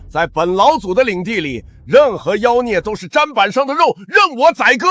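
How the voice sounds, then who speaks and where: An actor playing an arrogant elder sect ancestor villain, bombastic and theatrical, in a historical fantasy drama. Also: male voice, extremely high pitch, sing-song, normal speed, a bit bright, exaggerated voice. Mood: gloating.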